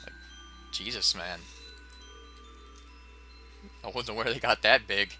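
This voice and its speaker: An American man from Ohio giving casual, rambling, profane gameplay commentary in a monotone manner. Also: fairly low pitch, takes it slowly. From United States, Ohio. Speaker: let's play host. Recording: game music behind the voice. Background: video game music.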